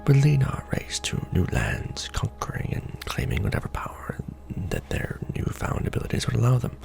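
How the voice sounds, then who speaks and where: A man playing a courtly, ancient vampire lord in an ASMR roleplay, speaking intimately and formally in a gravelly, often breathy half-whisper with measured pauses. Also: mid-range voice, wildly swooping pitch, full character voice. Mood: explaining, solemn. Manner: formal, archaic wording, measured delivery with pauses. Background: music.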